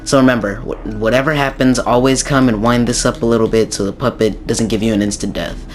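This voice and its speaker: Hoarse young man with a sore throat. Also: medium pitch, steady tone, a little fast, soft background music. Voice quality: slightly raspy. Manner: rambling, many ums and restarts. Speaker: gaming YouTuber. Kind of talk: casual game tutorial.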